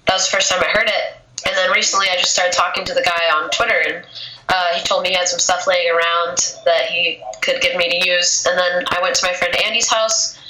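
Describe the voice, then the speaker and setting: A woman's voice: fairly low pitch, little pitch movement, brisk, pushed and bright. A young woman poet with a cold, chatting casually in an interview and filling her speech with "like". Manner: casual, lots of filler "like". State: has a cold, losing her voice. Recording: echoey room, faint noise.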